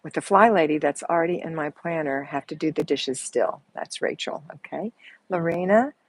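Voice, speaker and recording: A soft-spoken woman, hosting a casual live chat and answering viewers like a chatty, motherly coach who calls them honey. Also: sits low, normal speed, poor audio. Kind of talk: casual live-stream chat reading comments. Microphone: earbuds with mic.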